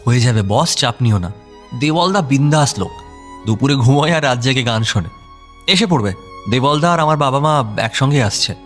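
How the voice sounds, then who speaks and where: A man's voice: medium pitch, animated, normal speed, fairly bright tone, lightly acted. An actor playing a breezy, boastful young man in a casual, slangy audio drama. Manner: slangy, offhand. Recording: music bed under voice.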